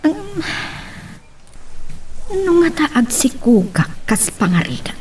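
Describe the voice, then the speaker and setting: A woman's voice: a little high, big pitch swings, slow pace, a little mellow, a little hiss or hum. A Filipino woman playing a defensive, scheming character in a radio drama. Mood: brooding, scheming.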